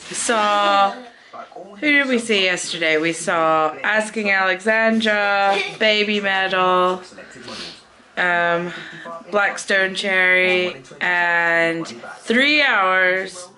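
A woman's voice: mid-range voice, drawn-out words, bright, forceful tone. A woman in her thirties chatting casually to camera as a family vlogger, teasing and narrating as she goes, into a phone held at arm's length. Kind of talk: casual vlog chat to camera.

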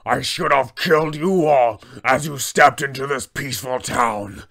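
A man's voice: mid-range voice, measured pace, vocal fry, a bit bright. A man voicing a big, menacing villain enforcer from a tabletop game, threatening and commanding in his delivery. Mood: menacing rage. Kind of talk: acted tabletop villain dialogue.

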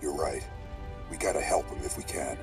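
A man's voice: very deep voice, moderate pace, music in the background. A man playing a cocky masked fighter in a video game, with a casual, sardonic manner. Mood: earnest. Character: cocky, brash masked American street fighter.

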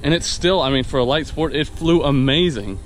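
A man's voice: a little high, brisk, soft background music, poor audio. A young man recording a casual review, talking enthusiastically to a handheld camera outdoors, rambling with fillers and restarts. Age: young adult. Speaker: vlogger and new private pilot. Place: outdoors on a wooded dirt path. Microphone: handheld action camera at arm's length.

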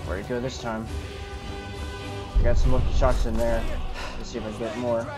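A man's voice: mid-range voice, flat delivery, moderate pace. A man giving in-character gameplay commentary, mixing muttered asides with battle cries. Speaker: gamer playing in character. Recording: game music behind the voice. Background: loud video game music.

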